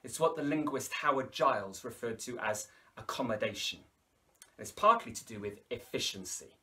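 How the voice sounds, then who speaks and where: A Welsh man in his thirties with a Welsh accent, teaching a language lesson to camera with lively hand gestures and wry asides, recorded indoors against a plain wall. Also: medium pitch, moderate pace. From Wales. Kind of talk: educational video lecture to camera.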